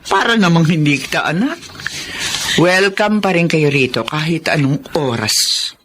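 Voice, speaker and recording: A woman acting a loving, gently scolding older mother in a Filipino radio drama. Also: sits low, expressive, unhurried, a little hiss or hum.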